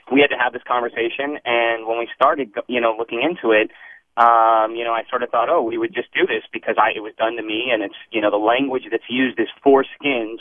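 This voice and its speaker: A man's voice: mid-range voice, no room sound. Earnest male caller on tinny phone. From Iran. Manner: earnest, opinionated. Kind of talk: call-in comment. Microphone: telephone.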